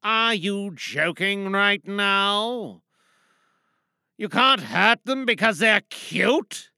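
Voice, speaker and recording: A woman's voice: medium pitch, expressive, drawn-out words, pushed and bright, smooth, dry recording, studio quality, pitched below the performer's own voice, full character voice. A woman playing a stern, paranoid female spaceship captain in a dramatic monologue, commanding and pleading by turns with theatrical urgency. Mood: bitter, accusing.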